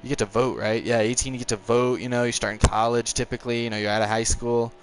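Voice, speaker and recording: A man's voice: medium pitch, steady tone, poor audio. A nineteen-year-old man casually chatting on a live stream while playing a racing game, rambling and reacting to the race. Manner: chatty, rambling, quick to frustration, chuckles. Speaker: gaming streamer.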